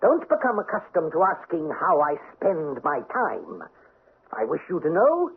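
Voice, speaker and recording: An actor playing a haughty, petty and domineering French count in a vintage radio drama, with a pompous manner. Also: male voice, a little high, huge pitch swings, measured pace, vocal fry, breathy edge, heavily acted. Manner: pompous, imperious. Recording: tinny old radio recording.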